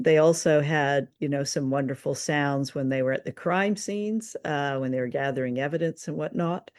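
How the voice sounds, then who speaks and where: A woman's voice: low voice, creaky, a little mellow. A woman co-hosting a podcast, chatting casually and appreciatively as she reviews radio dramas.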